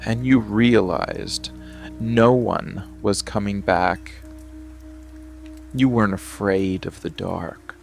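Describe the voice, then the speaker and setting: A man voicing a dark, seductive, protective monster in an intimate roleplay ASMR style, gravelly and deliberate, with long pauses. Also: on the low side. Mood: dark, soothing menace. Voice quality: gravelly, creaky. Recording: rain and music behind the voice. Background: steady rain and music.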